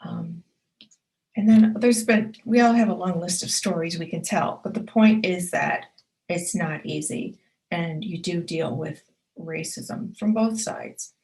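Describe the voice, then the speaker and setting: An earnest woman delivers a motivational talk on her own show, with a slightly low voice. She stacks short, punchy affirmations and repeats key phrases for emphasis, speaking straight to the listener to encourage them. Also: sing-song, unhurried, a little mellow, poor audio. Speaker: author and publisher hosting her own show.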